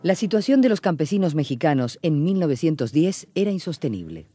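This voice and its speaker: A man's voice: on the high side, very animated, brisk, dark tone, soft background music. A man reading factual scripted narration in a radio documentary drama.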